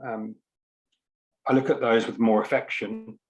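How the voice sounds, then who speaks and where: A man's voice: on the low side, steady tone, unhurried. A man giving an informal talk at an online launch, speaking in a hesitant, reflective way with careful hedging. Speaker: poet and scientist speaking at a book launch. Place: online event.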